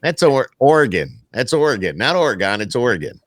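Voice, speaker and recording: A man's voice: mid-range voice, sing-song, a little fast, crowd noise. An American man hosting a podcast, chatting casually and putting questions to a guest. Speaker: podcast host. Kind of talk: casual interview chat.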